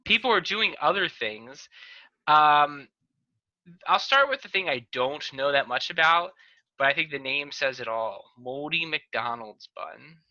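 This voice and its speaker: A joking man hosts a comedy podcast from a home video call, often breaking off and restarting his sentences. His voice sounds very bright, almost sharp. The call audio is dull and lo-fi. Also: fairly high pitch, animated, unhurried, no echo. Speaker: podcast host. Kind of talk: casual video-call chat.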